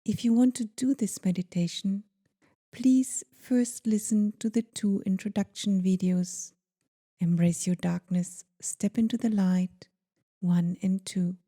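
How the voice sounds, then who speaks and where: A woman guiding a meditation in a gentle, soothing, deliberate manner, leaving pauses between phrases. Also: medium pitch, steady tone. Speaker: meditation guide. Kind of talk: guided meditation.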